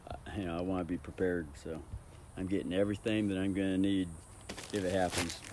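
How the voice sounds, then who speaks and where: A man's voice: low-pitched, flat delivery, fairly quick. A man rambling casually in a home vlog, with a profane, unfiltered way of talking. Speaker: vlogger. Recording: clearly noisy ambient background.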